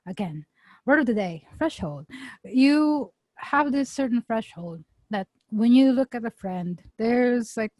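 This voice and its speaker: A young woman with a Filipino accent co-hosting a podcast, playful, searching for words and slowing down to stress a point. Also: a little high, lively intonation, mellow, no echo. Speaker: podcast co-host. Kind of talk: casual chat.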